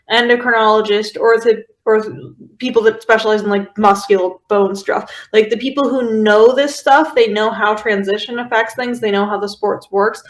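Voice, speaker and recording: A woman in casual discussion, explaining her view haltingly with frequent filler words and restarts. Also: on the high side. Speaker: podcast guest. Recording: roomy, echoing sound.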